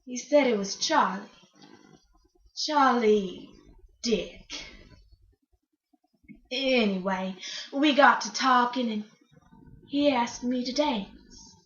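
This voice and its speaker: In a roomy recording, a woman acts out a dreamy yet defiant female country singer in a stage monologue. Her voice is very high and bright, and she tells her story in a folksy, dramatic way with hesitant pauses. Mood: confiding. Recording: roomy, slightly dull recording.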